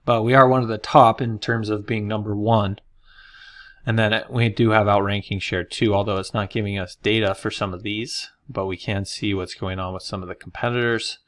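A man talking casually through a screen-recorded software tutorial, explaining as he goes with the odd filler. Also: lowish voice. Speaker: marketing consultant.

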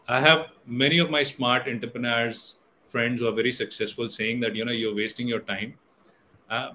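A man's voice: mid-range voice, a bit bright, slight background noise, rough recording. A middle-aged Indian man, a native Hindi speaker, gives an online guest lecture in English with many fillers and restarts. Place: indoor room on a video call. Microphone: webcam or laptop mic on video call.

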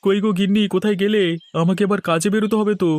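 A man's voice: high voice, fairly even intonation, rapid-fire, darkish tone, lightly acted. A man voicing a grumbling, thrifty poor farmer husband in a cartoon.

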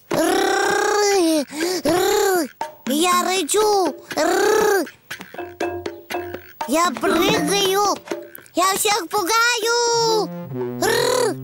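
A tiny, very high-voiced donkey boy from a preschool cartoon. He is cheerful and eager, speaking very slowly in short, simple exclamations as he plays. Loud music runs behind him. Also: full character voice. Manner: exclaiming, narrating own play in short simple phrases.

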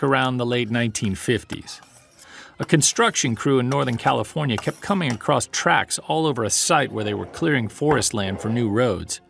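An actor playing a calm, courteous investigator who explains things in a measured way in an audio drama. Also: male voice, medium pitch, gravelly, slight background noise. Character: calm, polite male investigator of mysterious creature sightings. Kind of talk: audio drama dialogue and narration.

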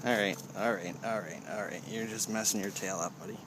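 A man's voice: lowish voice, a little fast. A man casually narrating a fishing vlog outdoors from a kayak, muttering as if to himself in a laid-back way. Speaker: fishing show host. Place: outdoors on a kayak on open water. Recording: noisy outdoor camcorder audio with ambient noise.

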